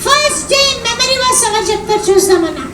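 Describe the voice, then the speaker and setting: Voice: expressive, fast talker. ఒక పప్పెట్ కళాకారుడు ఆండీ అనే చిలిపి చిన్న పిల్లాడి బొమ్మకు కీచు గొంతుతో మాట్లాడిస్తున్నాడు, పిల్లలతో సరదాగా ఆటపట్టిస్తూ. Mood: cheeky. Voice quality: high squeaky puppet voice. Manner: chatty, teasing, addresses kids and calls everyone brother. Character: cheeky little boy puppet, chatty and playful. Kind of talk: live puppet show for children. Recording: echoey hall from far off, loud crowd and noise.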